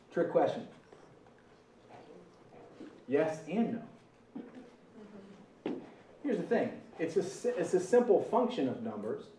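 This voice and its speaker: A man's voice: mid-range voice, echoey room, far from the mic, slight background noise, rough recording. A man leading a live sales training, talking casually and quizzing the audience. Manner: energetic, conversational, asks the audience questions. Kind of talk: live training talk to a room. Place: meeting room with an audience.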